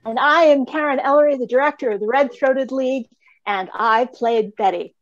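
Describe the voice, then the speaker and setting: A woman's voice: high-pitched, moderate pace. A middle-aged woman, a director, hosting a live online show and presenting to the audience. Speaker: theatre director hosting cast introductions. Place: indoor room, video call. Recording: slightly dull video-call audio.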